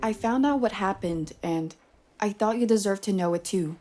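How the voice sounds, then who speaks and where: A woman's voice: mid-range voice, moderate pace, over music, natural voice. A woman voicing an earnest, probing journalist in a video game. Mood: concerned, gentle. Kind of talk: video game dialogue acting.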